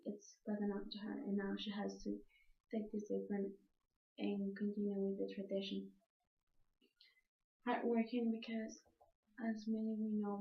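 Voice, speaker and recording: A young woman from El Salvador with a Salvadoran accent and Spanish as her first language gives a prepared speech as a student, haltingly repeating and correcting words. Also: mid-range voice, gravelly. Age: young adult. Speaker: student speaker. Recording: echoey room, muffled dull sound.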